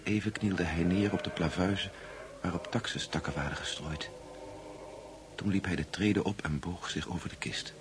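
A man's voice: sits low, steady tone, normal speed, background noise, close to an everyday voice. An actor playing a grave man who narrates a story in the first person in a radio drama. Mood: grave, measured. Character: Pavel, grave male friend recounting a ghostly tale.

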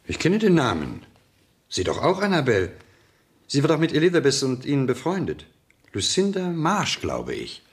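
A man's voice: mid-range voice, huge pitch swings, moderate pace. An actor playing a stern, insistent father and head of a household in a radio crime drama. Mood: sober.

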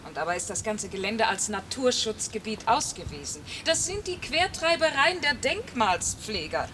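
An actress playing an eager, indignant German conservationist who guides a visitor. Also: female voice, on the high side, very animated, moderate pace. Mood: insistent. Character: zealous German conservationist woman, insistent. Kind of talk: 1980s radio play acting. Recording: outdoor noise behind.

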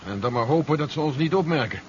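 An actor playing a cautious, worried army general in a 1970s radio drama, speaking in a wary, clipped way. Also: male voice, fairly high pitch, lively intonation, quick pace, lightly acted. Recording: dull, noisy 1970s radio play recording.

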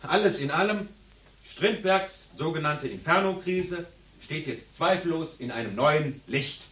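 An actor playing an opinionated, combative literary scholar in a radio drama, arguing eloquently and emphatically. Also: male voice, a little high, a little slow, a bit bright. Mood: fervent, insistent. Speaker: seminar participant. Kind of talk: radio drama monologue, academic argument. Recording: echoey room, distant from microphone.

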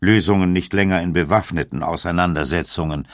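A man reading scripted documentary narration in a measured manner, with dramatic pauses. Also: deep voice, no room sound, clean studio sound.

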